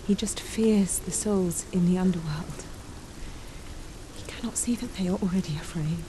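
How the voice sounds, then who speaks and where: Woman acting a soft tender healer mom in a game, lowish breathy half-whisper, rainy noise behind. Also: normal speed, lightly acted. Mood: tender, sorrowful resolve. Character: gentle, devoted healer mother, compassionate and resolute.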